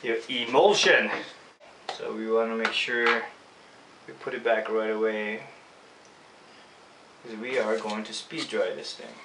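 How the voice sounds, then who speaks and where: A man's voice: lowish voice, fairly even intonation, a little slow, pauses often. A man with a Filipino accent giving an informal hands-on tutorial, casually talking through each step as he works. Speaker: screen printing instructor. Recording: echoey room, distant mic, faint noise.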